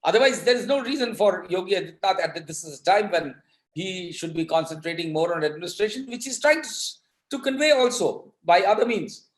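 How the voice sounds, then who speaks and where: A man with an Indian accent giving political commentary in an interview, halting but emphatic, often repeating his words. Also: high voice. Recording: echoing, roomy sound.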